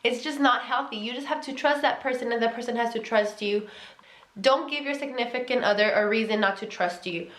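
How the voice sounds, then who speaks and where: A woman's voice: a little high, fairly quick, fairly bright tone. A young woman with a Mexican accent giving relationship advice in a casual vlog, earnest and emphatic, repeating key words. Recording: echoey room.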